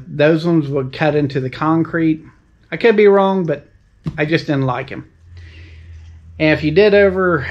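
High-voiced man demoing car ramps. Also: lo-fi sound. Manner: folksy, plain-spoken, explaining step by step. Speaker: channel host reviewing tools. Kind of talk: casual product demonstration. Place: outdoors by his vehicles.

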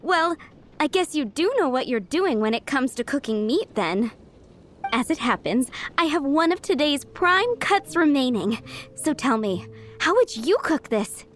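A woman voicing a proud, opinionated village cook in a video game, brisk and assertive. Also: high voice, big pitch swings, a little hiss or hum. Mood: impressed. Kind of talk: acted video game dialogue. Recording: faint game music underneath.

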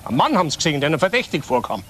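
Bitter, defiant father, bright-voiced. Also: fairly high pitch, very animated, very fast, faint music behind. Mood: insistent. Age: middle-aged. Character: bitter, defiant father of grown sons under suspicion. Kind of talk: German radio crime drama acting.

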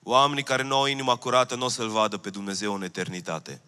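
A man's voice: mid-range voice, little pitch movement, pushed and bright, smooth. A man in his thirties preaching a sermon from a church stage with a handheld mic, earnest and repeating his key phrases. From Romania. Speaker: pastor. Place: church stage, large hall. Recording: long hall reverb.

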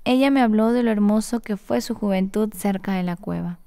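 A woman's voice: medium pitch, brisk, dark tone, smooth. A woman reading a scripted first-person narration for a radio story.

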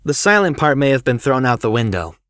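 A woman's voice: extremely low pitch, sing-song, brisk, dry recording, clean studio sound. A woman voicing an anxious, scatterbrained teenage schoolboy in an audio drama, hesitant and exclamatory. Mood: wary.

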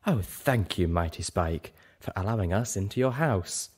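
A man's voice: sits low, moderate pace, slightly rough. Genteel female unicorn male reader performing, gracious, diplomatic, lilting. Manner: courteous, flattering.

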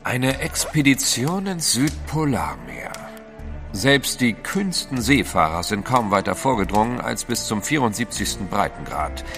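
An actor in a German audio drama playing a cool, sceptical gentleman adventurer with a formal, haughty manner. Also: fairly low pitch, very animated, a little slow, music in the background. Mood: probing.

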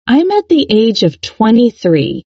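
A woman narrating a scripted English lesson in a clear, upbeat, instructional way. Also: a little high, huge pitch swings, unhurried, dry recording, highs cut off.

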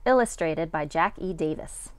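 A middle-aged woman, a kindergarten teacher, reading a picture book aloud to young children with deliberate, sing-song dramatic emphasis. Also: sits low, expressive, smooth, no echo.

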